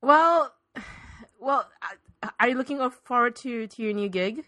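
A woman's voice: a little high, lively intonation, slow pace, pushed and bright, no room sound, clean studio sound. A woman interviewing in an improvised comedy piece, playing it straight and often hesitantly repeating her words.

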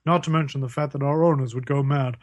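Male newspaper editor, played by a man, deadpan, defensive, medium pitch, parody radio play, dry. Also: quick pace, no echo, close to an everyday voice. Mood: deadpan, apologetic.

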